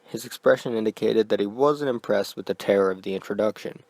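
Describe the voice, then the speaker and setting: A man narrating a scripted horror story in a quiet, deliberate manner. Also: fairly low pitch, no echo.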